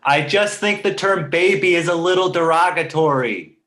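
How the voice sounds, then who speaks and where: A man playing a precocious talking baby boy, sardonic, indignant and pedantic, in an animated comedy table read. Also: a little high, normal speed, lightly acted. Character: precocious, snarky two-month-old talking baby boy.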